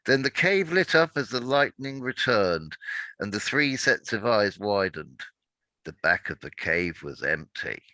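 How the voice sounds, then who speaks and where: A man reading a dark adventure story aloud in dramatic narration, now and then stumbling over words and correcting himself. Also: lowish voice, big pitch swings, a little slow, no room sound, low-quality recording.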